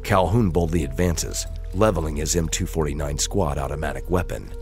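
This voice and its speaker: A man with a deep voice narrates an audio drama in a scripted, dramatic style. Soft music plays behind him. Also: moderate pace.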